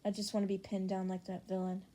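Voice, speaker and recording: A woman's voice: mid-range voice, monotone, quick pace, dark tone, close to an everyday voice. Woman as an understated, infatuated teenager. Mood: deadpan longing.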